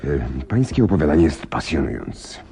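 An actor in a radio drama playing a composed, attentive, decisive gentleman detective with deliberate, courteous questioning. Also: very deep voice, very animated, gravelly, slight background noise, full character voice. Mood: courteous, probing.

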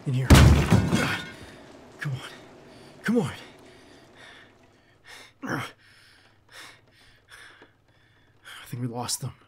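A man acting a tense, loyal, protective bodyguard in an ASMR roleplay, speaking in a halting, earnest and reassuring way. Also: mid-range voice, big pitch swings, background noise. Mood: urgent, alarmed.

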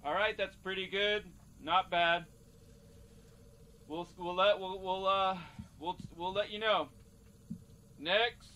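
A man's voice: sits high, steady tone, slow, pauses often, pushed and bright, lo-fi sound, exaggerated voice. A man playing a casual casting director who stumbles and repeats himself in improvised comedic game acting. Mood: offhand, businesslike. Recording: noisy cassette-tape recording.

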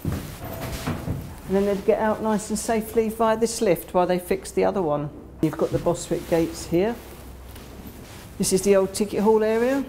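A middle-aged woman giving an informal guided tour, explaining as she walks, with slightly halting phrasing. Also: mid-range voice, mellow, slight background noise. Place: indoors in disused underground station, tunnels and train carriage.

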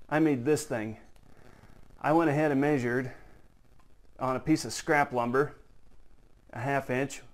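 A man giving a casual, folksy how-to demonstration in his workshop, joking at his own expense as he works. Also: mid-range voice. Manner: folksy, self-joking, talks while working. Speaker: model diorama builder. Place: workshop, indoors.

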